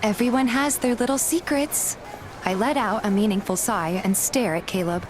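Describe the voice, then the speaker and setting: A woman's voice: mid-range voice, moderate pace, natural voice. Actress as suspicious, spirited young female lead, first-person narration and dialogue, mid-range voice over music. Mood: determined. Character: feisty, suspicious young woman narrating her own story. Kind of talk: scripted first-person narration and game dialogue, fan dub.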